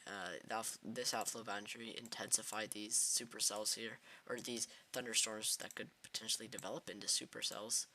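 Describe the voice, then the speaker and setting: Halting man with a bright, sharp voice. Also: on the low side, slight background noise. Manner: hesitant, many fillers and restarts. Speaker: livestream weather host. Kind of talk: live severe weather commentary.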